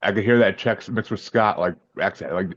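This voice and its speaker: A man's voice: fairly low pitch, brisk, a bit bright, dry recording, highs cut off. A man from Massachusetts, a podcast guest, chatting in a laid-back, hesitant way and often repeating his words. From Massachusetts, USA. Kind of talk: casual interview chat.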